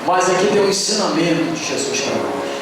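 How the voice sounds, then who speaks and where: A man, preaching a fervent sermon as pastor and swinging between hushed and shouted, sing-song phrasing. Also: on the high side, very animated, brisk, heavy background noise. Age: 50s. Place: church service. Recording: very echoey hall, picked up from a distance. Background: music playing.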